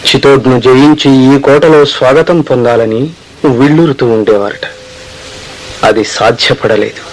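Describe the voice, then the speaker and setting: A man's voice: medium pitch, moderate pace, natural voice. A man playing a reverent, noble Mughal emperor in a radio play, speaking in formal, declamatory style. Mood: reverent, reflective. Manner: formal, declamatory, grandly literary phrasing. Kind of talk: Telugu radio play acting. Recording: noisy old radio play recording.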